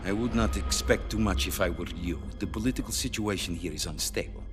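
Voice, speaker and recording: Low, formal Soviet premier played by an actor. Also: male voice, natural voice. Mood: guarded warning. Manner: formal, measured, diplomatic. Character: shrewd, guarded Soviet premier issuing veiled ultimatums. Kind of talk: cinematic video game acting. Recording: loud music underneath.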